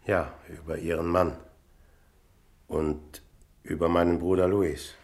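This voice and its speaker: An actor playing a calm, courteous investigator with a gravelly voice and measured, polite delivery in a German radio crime drama. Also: male voice, sits low. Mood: gently probing.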